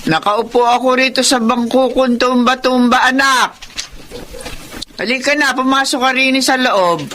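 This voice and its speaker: Casting: stubborn elderly Filipina grandmother for radio drama, bright, forceful delivery. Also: high-pitched, fairly even intonation, measured pace, a little hiss or hum. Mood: gently stubborn. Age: older. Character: elderly grandmother, stubbornly attached to her old house.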